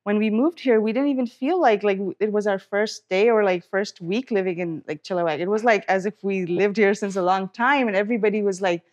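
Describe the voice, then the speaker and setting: A woman's voice: medium pitch, a little fast, hardly any pauses, highs cut off. A middle-aged woman from Pakistan, a dentist, telling her story in a casual interview while cooking, acting out what people said along the way. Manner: chatty, warm storytelling with quoted asides. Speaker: guest, dentist.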